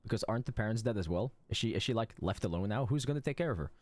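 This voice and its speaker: A man's voice: lowish voice, animated, racing, darkish tone, no room sound. A man giving casual live commentary on a video game as a streamer, informal and sympathetic, musing aloud.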